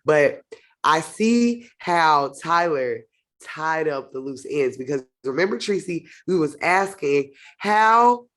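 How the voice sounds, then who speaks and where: A woman with a stuffy nose from a cold, reviewing a TV episode in casual chat, expressive and opinionated. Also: low-pitched, slow. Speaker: host of a TV show review channel.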